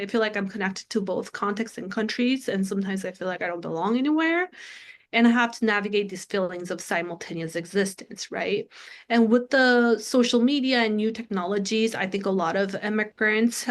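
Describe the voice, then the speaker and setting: Woman in her thirties, native Korean speaker from South Korea, presents research earnestly over a video call, lots of "um"s. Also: mid-range voice. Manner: earnest, frequent "um" fillers. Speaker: guest lecturer.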